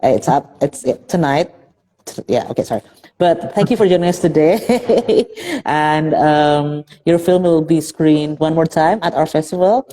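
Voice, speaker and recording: A woman from Indonesia hosts a casual video-call interview, speaking with a low, mellow voice. She talks in a chatty way, laughing often and correcting herself mid-sentence. Her delivery is friendly and relaxed. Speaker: festival director and interviewer.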